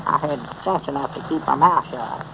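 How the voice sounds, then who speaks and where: An actor playing a folksy, evasive old country storekeeper with a rural Southern accent and a hesitant, rambling manner in a 1930s radio comedy. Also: male voice, a little high, moderate pace, creaky. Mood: evasive, earnest. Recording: muffled, noisy 1930s radio recording.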